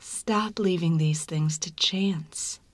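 A woman narrating a scripted ASMR advert in a soft, breathy, deliberate whisper. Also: lowish voice, no echo. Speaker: promo narrator.

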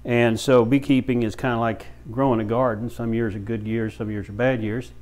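A man in his fifties with a medium-pitched voice explains things step by step. His delivery is informal and folksy, with halting phrases, like a hands-on instructor showing beginners the basics. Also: flat delivery, a little mellow. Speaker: beekeeper and bee farm shop owner, instructor. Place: small brick-walled room with many windows, indoors.

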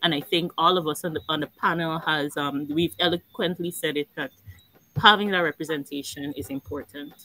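A woman speaking earnestly on a video call panel, expressive and quick to laugh. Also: low-pitched, no echo, low-quality recording. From Guyana. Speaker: panel guest, animation network founder.

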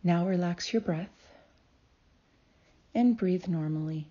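A woman narrating a guided meditation in a calm, soothing way, with deliberate phrasing and long pauses. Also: fairly low pitch, husky, highs cut off. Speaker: guided meditation host and healer.

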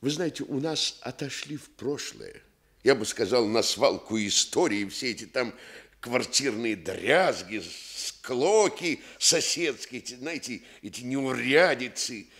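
An actor in a Soviet radio play voicing a pompous, self-important housing activist who speechifies officiously with false modesty. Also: male voice, mid-range voice, animated, unhurried, a bit bright. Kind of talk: Soviet radio theatre acting.

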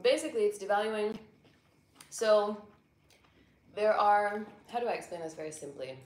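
A woman's voice: sits low, slight background noise. American woman explaining in an echoey room. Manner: explains simply and patiently, with fillers. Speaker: guest, venture capital investor. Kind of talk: casual chat. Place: living room indoors.

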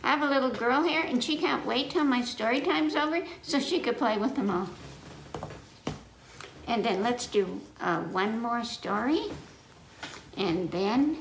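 A woman's voice: a little high, animated, unhurried, creaky, long pauses. An older woman, in her sixties or older, leading a children's story time, reading aloud and explaining things simply with repetition, in a teacherly way. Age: 60s or older. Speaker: library story time reader. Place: indoor living room in front of a fireplace. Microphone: camera a few feet away. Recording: echoey room, noticeable noise, clicks.

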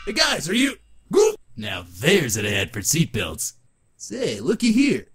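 A man's voice: on the high side, huge pitch swings, unhurried, breathy edge, heavily acted. A man voicing a zany, boastful masked trickster and crime boss in a comic book dub. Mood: gleeful boasting. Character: manic green-faced masked trickster turned crime boss, gleeful and menacing. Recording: loud music behind the voice.

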